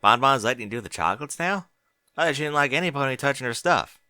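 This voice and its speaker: Man voicing a folksy farm mare, bright and lively. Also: mid-range voice, quick pace, dry recording, studio quality, pitched above the performer's own voice. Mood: casual. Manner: folksy, casual, earnest. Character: plain-spoken female farm pony, folksy and earnest. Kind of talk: fan fiction audiobook character voice.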